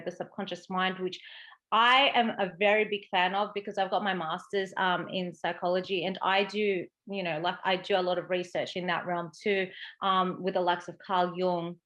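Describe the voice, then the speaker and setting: Lower-pitched Australian woman, chatty. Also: steady tone, normal speed, fairly bright tone, dull audio. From Sydney, Australia. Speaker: interview guest, psychic medium and coach. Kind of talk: casual interview conversation.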